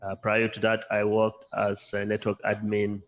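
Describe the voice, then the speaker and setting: A man from Nigeria whose first language is Hausa, giving a measured self-introduction at a conference with frequent pauses. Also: on the low side, completely flat delivery, fairly bright tone. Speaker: conference presenter. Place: conference hall.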